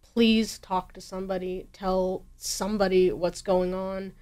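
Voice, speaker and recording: A woman's voice: mid-range voice, flat delivery, measured pace, mellow. Earnest woman explaining a cause. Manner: earnest, emphatic. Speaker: interview guest, anti-bullying campaigner. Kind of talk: interview answers, explanatory.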